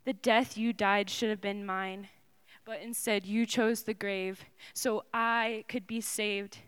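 A young woman performing dramatic spoken word poetry in a slow, solemn way that often drops to a hush. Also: mid-range voice, flat delivery, smooth.

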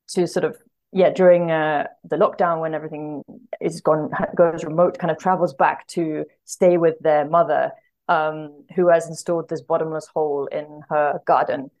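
A woman speaking casually as a podcast guest in an interview, thoughtful and halting as she works out her phrasing. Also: low-pitched, fairly even intonation, darkish tone. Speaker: podcast guest, literary translator.

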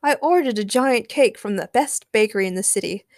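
A woman voicing a playful, suave male vampire in a storybook, with a slow, relishing delivery. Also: fairly high pitch, animated, a little mellow, no echo, studio quality. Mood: sheepish.

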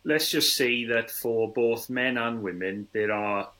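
A man's voice: medium pitch, measured pace, pushed and bright. A man in his forties co-hosting a casual film review podcast. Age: 40s.

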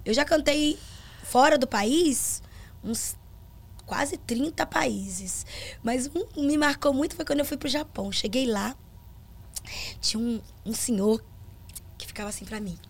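A woman's voice: high-pitched, measured pace, long pauses. A middle-aged woman with a Brazilian accent, a gospel singer telling stories in a casual interview and acting out the dialogue. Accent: Brazilian Portuguese. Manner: animated storyteller, acts out quoted dialogue.

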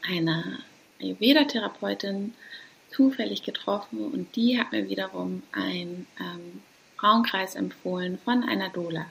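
A woman in her thirties from Hamburg, talking casually and hesitantly as a guest, with many pauses and light laughs. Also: medium pitch, creaky, fairly bright tone, breathy edge, low-quality recording. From Hamburg, Germany. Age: 30s. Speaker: guest, doula. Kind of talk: casual interview talk.

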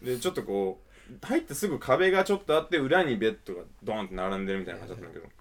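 A man's voice: on the low side, animated, rapid-fire. A man in his twenties hosting a podcast, casually chatting and telling a personal anecdote. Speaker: podcast host.

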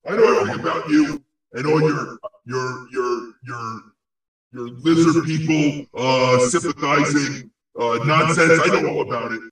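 A man's voice: on the high side, a little slow, a bit bright. A man playing an angry, boastful ghost in a comic audio play, sputtering crude insults. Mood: contemptuous. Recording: very echoey, distant microphone.

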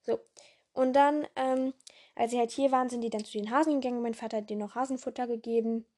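A young woman with a hoarse voice hosting a casual podcast in a rambling way, full of fillers and self-corrections, jumping between voices. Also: on the high side, steady tone, fast talker, dark tone. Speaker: podcast host.

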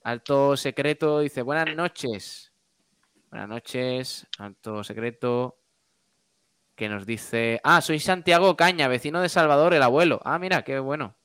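A man hosts a relaxed live radio show. His voice is clear and smooth, recorded dry and close. He reads listener comments aloud and banters colloquially. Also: medium pitch, unhurried, no echo. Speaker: radio show co-host reading listener messages. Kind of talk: casual live chat, reading out comments.

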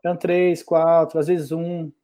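A man's voice: on the high side, level tone, unhurried, warm tone. A middle-aged man from Brazil, a mathematics professor, talking reflectively in a casual conversation with many restarts.

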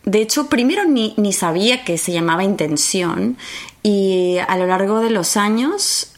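A woman from Argentina with an accent mixing Argentine, Mexican and Spain Spanish, chatting warmly as a podcast guest at home and slipping English phrases into her speech. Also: mid-range voice, lively intonation, measured pace. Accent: Argentine Spanish mixed with Mexican and Spanish. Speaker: podcast guest, spiritual facilitator. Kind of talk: casual conversation.

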